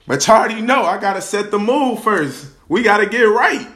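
A young man hosting his own podcast, hyped and slangy, hoarse from a cold. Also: high-pitched, lively intonation, a little fast, fairly bright tone. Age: young adult. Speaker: podcast host. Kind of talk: casual vlog-style podcast talk. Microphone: camera.

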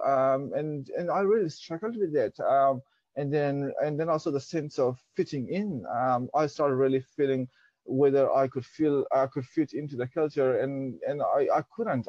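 A man's voice: medium pitch, a little mellow, breathy edge, no echo, dull audio. A young man from Pakistan whose first language is Dari, telling his story as an interview guest, hesitant with many fillers. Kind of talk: reflective personal storytelling.

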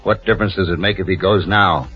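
An actor playing a coldly calculating, persuasive swindler in an old-time radio drama, commanding and pushy. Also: male voice, deep voice, fast, lightly acted. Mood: cold, conspiratorial. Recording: dull old radio recording with music.

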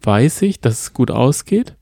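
A man's voice: medium pitch, very animated, unhurried, creaky, mellow, no echo, studio quality. A man co-hosting a podcast in casual chat, eagerly retelling a plot and often restarting his sentences. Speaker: podcast co-host.

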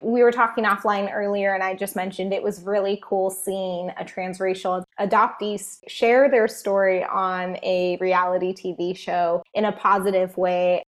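A woman's voice: mid-range voice, little pitch movement, moderate pace, hardly any pauses. A woman hosting a podcast interview, upbeat and enthusiastic as she introduces and questions her guest. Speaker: podcast host.

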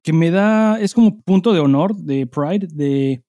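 A man's voice: a little high, expressive, unhurried, dark tone, dry recording, clean studio sound. A man with a Mexican accent co-hosting a casual podcast, talking in loose, sweary slang as he chats and reads listener mail. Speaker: podcast co-host.

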